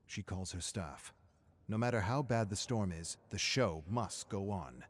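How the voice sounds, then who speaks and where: A man's voice: fairly low pitch, a little mellow, slight background noise. A man reading a scripted story as a dramatic narrator.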